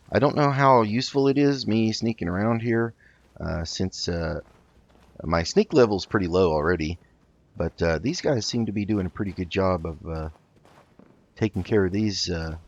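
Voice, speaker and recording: A man's voice: deep voice, dull audio. A man hosting a casual let's play, thinking aloud hesitantly as he goes. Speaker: gaming video host.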